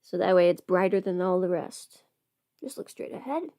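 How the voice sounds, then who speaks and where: A woman's voice: fairly low pitch, lively intonation, normal speed, warm tone, dry recording. Chatty woman rambling in a dry close recording. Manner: chatty, rambling, thinking aloud, sometimes playful. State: sick with a cold or illness. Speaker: livestream host and audio performer. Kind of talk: casual makeup livestream chat.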